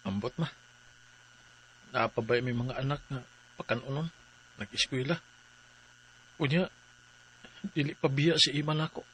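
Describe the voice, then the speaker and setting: An actor with a Cebuano Filipino accent playing a troubled, devoted forty-year-old farmer in a radio drama. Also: male voice, mid-range voice, steady tone, a little slow, pauses often, fairly bright tone, a little hiss or hum, lightly acted, rough recording. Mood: worried. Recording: faint music bed.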